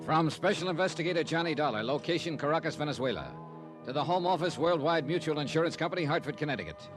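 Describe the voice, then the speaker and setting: An actor playing a wry, hard-boiled insurance investigator in a 1950s radio drama, with punchy, clipped delivery. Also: male voice, on the high side, lively intonation, fast talker, close to an everyday voice. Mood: wry narrating. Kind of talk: 1950s radio detective acting and narration. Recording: old radio recording with music.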